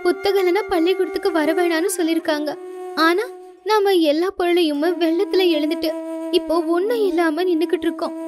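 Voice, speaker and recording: A woman's voice: very high voice, fairly even intonation, quick pace, pitched above the performer's own voice. A woman voicing a poor, worried village wife and mother in a storybook cartoon, speaking in an anxious, pleading way. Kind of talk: animated storybook voice acting. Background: flute music.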